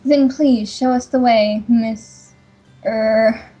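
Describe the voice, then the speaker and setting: Woman voicing a mellow, high-pitched skeptical game heroine. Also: fairly even intonation, extremely slow, soft background music, rough recording. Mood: hesitant, polite. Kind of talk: amateur visual novel fandub acting.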